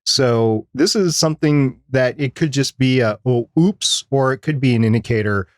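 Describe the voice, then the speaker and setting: A man's voice: mid-range voice, normal speed, no echo. A man co-hosting a podcast in a casual, conversational discussion style. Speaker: podcast co-host.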